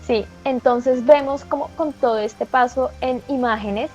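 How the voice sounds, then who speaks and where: A woman's voice: high voice, measured pace, a little mellow, faint music behind. A woman from Colombia, co-hosting a talk show, explaining with enthusiastic emphasis. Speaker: co-host. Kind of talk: casual panel chat. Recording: dull lo-fi sound.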